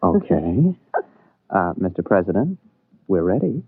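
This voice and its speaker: A man's voice: deep voice, very animated, measured pace, breathy edge, no room sound, heavily acted. An actor playing a plain-spoken, wry rocket pilot captain, a somewhat flustered everyman hero in a 1950s radio drama. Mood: casual. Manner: hesitant, casual, wry. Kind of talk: 1950s radio drama acting with first-person narration. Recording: muffled, narrow 1950s radio broadcast.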